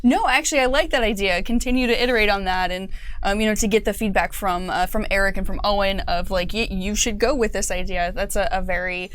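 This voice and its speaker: A woman in her thirties, a game designer, talking earnestly in a casual studio panel chat, often pausing with fillers. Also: mid-range voice, a bit bright, slight background noise. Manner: earnest, many fillers like um and you know. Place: small studio set with curtain backdrop.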